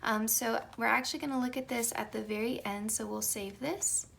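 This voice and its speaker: A young woman doing a casual tarot reading. She has just woken up and her voice is slightly raspy, and she talks in a chatty way with soft whispered asides. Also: a little high, fairly even intonation, normal speed, a little hiss or hum. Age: young adult. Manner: chatty, light laughs, soft whispered card calls. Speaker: tarot reader.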